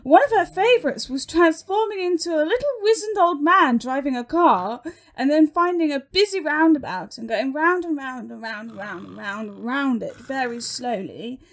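A woman's voice: high-pitched, animated, normal speed. A woman playing a chatty, sycophantic demon servant in an audio comedy drama, speaking with eager deference. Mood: nostalgic, tipsy. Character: Crabby, tipsy sycophantic demon minion of Satan.

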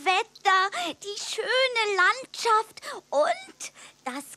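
A woman playing a small, cheeky, grumbly male mouse with a drawn-out, expressive delivery in a children's audio play. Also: extremely high pitch, heavily acted. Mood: fondly reminiscing. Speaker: character and narrator. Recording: noisy 1980s cassette audio play.

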